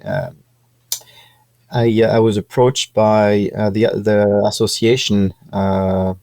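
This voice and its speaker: A man's voice: on the low side, animated, slow pace, vocal fry, mellow, no echo. A man from France, speaking as a podcast guest in a hesitant way, with many fillers and restarts. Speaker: podcast guest, business executive. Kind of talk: casual interview talk.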